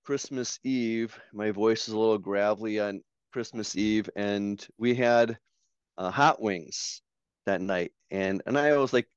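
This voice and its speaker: A man's voice: lowish voice, a little slow, clear, resonant tone, no echo. A man who is a pastor and author, hosting a podcast and leading the conversation with stories and questions. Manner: storytelling, leads the talk, asks questions. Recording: slightly dull, lo-fi mic.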